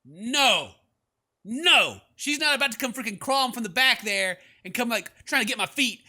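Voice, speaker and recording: A man hosts a gaming video in a bright, high voice that swings widely. He is playful and theatrical, mixing exclamations with read-aloud game text. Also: expressive, normal speed, dry recording, clean studio sound. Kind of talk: animated let's play commentary, reading game text aloud. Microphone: close microphone at a desk. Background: faint game music.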